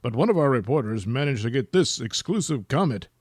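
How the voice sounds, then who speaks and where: A man voicing a stiff, solemn TV news anchor in a formal, deadpan manner for a comic audio drama. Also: mid-range voice, fairly quick, husky, dry recording, studio quality.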